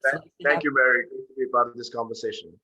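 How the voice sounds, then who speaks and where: Sri guy in his 30s, bright voice, earnest, dull webcam sound. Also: medium pitch, steady tone, fast talker. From Sri Lanka. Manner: earnest, fluent, with frequent "uh" fillers. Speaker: guest, peace organization founder. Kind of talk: video-call interview. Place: home room with bookshelves. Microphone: video call webcam.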